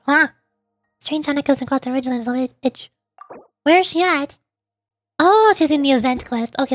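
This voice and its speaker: A woman's voice: high voice, no echo. A woman game streamer chatting to herself and reading on-screen text aloud as she plays. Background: game music.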